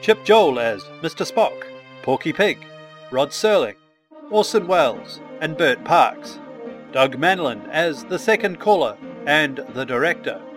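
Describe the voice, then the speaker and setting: A man's voice: on the high side, sing-song, measured pace. A man reading scripted production credits as an announcer. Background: music.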